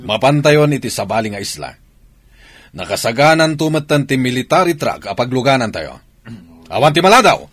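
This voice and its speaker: A man's voice: mid-range voice, sing-song, fairly quick, fairly bright tone. A man playing a stern military commander barking orders in a radio drama. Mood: commanding.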